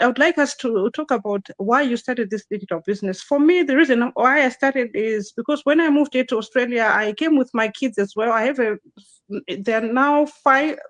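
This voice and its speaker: Woman host, higher voice, dry close sound, casual restart-filled live interview talk. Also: no room sound. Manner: chatty, frequent restarts and fillers. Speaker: host, digital business consultant.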